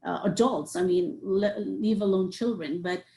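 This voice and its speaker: Earnest woman, mellow voice. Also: mid-range voice, measured pace, vocal fry. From Nepal. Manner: earnest, listing points with frequent "you know". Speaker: psychiatrist guest. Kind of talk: interview answers.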